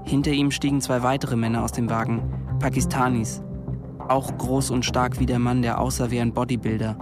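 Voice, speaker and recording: A man with a medium-pitched voice narrates a radio drama. His delivery is restrained and quite flat in melody, with loud music underneath. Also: brisk. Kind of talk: scripted radio play narration.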